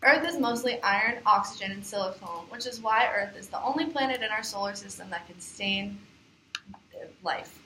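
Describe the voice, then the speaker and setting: A woman's voice: mid-range voice, moderate pace, sharp tone. A woman giving scripted educational narration as a puppet show presenter. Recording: echoey room, faint background noise.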